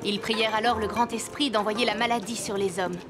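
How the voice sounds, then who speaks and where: A woman voicing a gentle, caring mother and herbal healer in a children's cartoon, with careful, clear phrasing. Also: medium pitch, steady tone, a little fast, noisy background, natural voice. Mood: storytelling. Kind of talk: French-dubbed children's cartoon acting.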